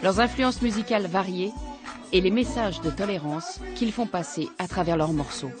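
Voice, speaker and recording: A woman narrates a documentary in a scripted style. Her voice-over sits over loud music. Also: mid-range voice, normal speed.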